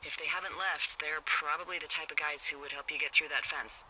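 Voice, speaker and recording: An actress playing a wry, uneasy American fire lookout in a video game, speaking hesitantly and conversationally through a walkie-talkie filter. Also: female voice, low-pitched, steady tone, a little fast, noisy background. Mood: helpful. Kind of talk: naturalistic video game voice acting.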